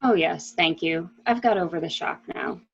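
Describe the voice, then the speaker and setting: Lady doing a polite new widow in a mystery play, croaky medium voice, muffled lo-fi audio. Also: on the low side, moderate pace, soft background music. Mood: subdued, faltering. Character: genteel, composed widow of the murdered man. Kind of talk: amateur dramatic reading of a murder mystery. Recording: dull, muffled lo-fi sound.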